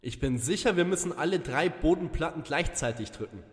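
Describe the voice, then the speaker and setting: A man plays a sceptical, sharp-witted young detective in a parody audio drama, with a dry manner. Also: fairly high pitch, animated, a little fast, lightly acted. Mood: focused. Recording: long reverb, music behind.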